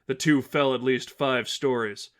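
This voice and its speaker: A man's voice: mid-range voice, fairly even intonation, a little slow, fairly bright tone. Male narrator, dramatic story reading. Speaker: fan fiction reader and narrator.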